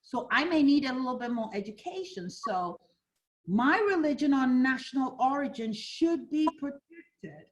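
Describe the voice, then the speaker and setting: A woman's voice: high voice, expressive, moderate pace. A woman from Ghana teaching a fair housing class for realtors, role-playing buyers and agents as she talks. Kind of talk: interactive training class.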